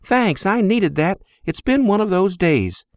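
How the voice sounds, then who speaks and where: A man voicing a weary but friendly middle-aged receptionist, speaking softly. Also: mid-range voice, lively intonation, a little slow, dry recording, studio quality, pitched above the performer's own voice, lightly acted.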